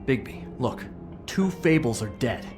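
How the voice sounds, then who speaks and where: Cocky, swooping-voiced troublemaker. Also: male voice, mid-range voice, very animated, unhurried, darkish tone, faint music behind. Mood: needling, provocative. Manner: sarcastic, glib, needling. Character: cocky, mouthy male troublemaker, goading barfly. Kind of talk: video game voice acting.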